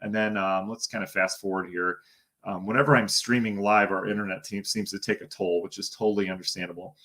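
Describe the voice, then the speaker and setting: A hoarse man giving a casual live-streamed weather briefing, thinking aloud as he talks through forecast maps. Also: on the low side, steady tone, a little fast, pushed and bright. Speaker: TV meteorologist.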